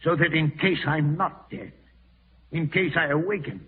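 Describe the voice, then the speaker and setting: A man's voice: a little high, expressive, normal speed, husky, distant mic. An actor playing an ailing, domineering old man who dreads being buried alive, in 1940s radio horror style. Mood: urgent insistence. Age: older. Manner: insistent, commanding, brooding. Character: old ailing man, morbidly fearful of live burial, domineering. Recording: muffled vintage radio broadcast, thin narrow band.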